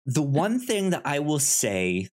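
A man co-hosting a movie podcast, talking casually and haltingly, often repeating words as he thinks aloud. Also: a little high, huge pitch swings, a little mellow. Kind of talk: casual film discussion.

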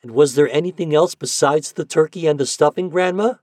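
A man's voice: a little fast, a little mellow, no room sound, studio quality, natural voice. A man voicing an eager, plucky little boy in a children's story, with a piping, cheerful delivery. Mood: eager, hopeful. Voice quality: piping, shrill but clear.

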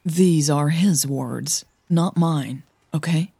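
Woman as an earnest, low-voiced female coach. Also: takes it slowly, creaky, dark tone, breathy edge, dry recording, studio quality, exaggerated voice. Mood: hesitant, earnest. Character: earnest, cautioning female coach, hesitant but persistent.

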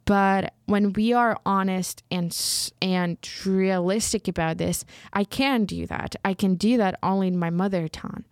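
Slow, hesitant woman, Ukrainian native speaker. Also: mid-range voice, steady tone, no echo, studio quality. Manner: slow, hesitant, searching for words with many restarts. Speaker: writer. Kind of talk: reflective personal storytelling.

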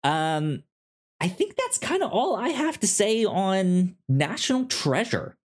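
A man co-hosting a podcast, chatting casually about films in a halting, repetitive, enthusiastic fan's way. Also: sits high, sing-song. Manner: halting, repetitive, enthusiastic self-described nerd. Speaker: podcast co-host. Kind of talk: casual film discussion chat.

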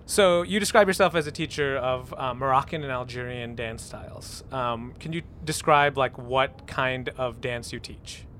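A man hosting an interview, relaxed and rambling with plenty of fillers, recorded outdoors. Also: mid-range voice, moderate pace, few pauses, slight background noise. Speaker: interviewer and host. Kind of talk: casual interview. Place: outdoors near a park.

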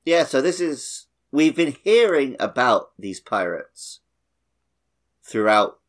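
A man co-hosts a casual gaming podcast. His voice sits at a medium pitch and swings widely in intonation. He talks in an animated, dryly opinionated way. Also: slow. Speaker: podcast host.

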